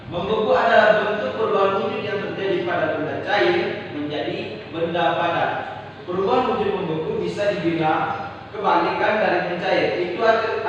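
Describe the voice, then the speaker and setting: A young male teacher with an Indonesian accent explains a lesson step by step, pausing often on "nah". His voice sits a little high. He is recorded from a distance in a roomy, echoing classroom with a dull, muffled sound. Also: noisy background. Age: young adult. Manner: explains slowly with frequent "nah" pauses. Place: classroom indoors.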